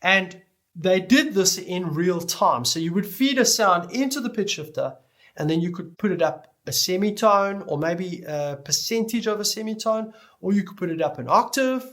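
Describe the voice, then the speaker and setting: A man giving an explanatory tutorial lecture as an instructor, speaking deliberately and stressing key words as he goes. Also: sits high, lively intonation, fairly bright tone. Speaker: music production instructor.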